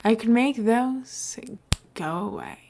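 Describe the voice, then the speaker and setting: A woman reading the part of a calculating male mayor and would-be villain in a story, persuasive, measured and businesslike. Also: on the high side, expressive, a little mellow, a little hiss or hum. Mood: coaxing.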